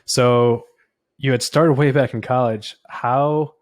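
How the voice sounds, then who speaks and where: A man's voice: mid-range voice, steady tone, slow, no room sound, studio quality. A man hosting an interview podcast, introducing his guest and asking questions. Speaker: podcast host.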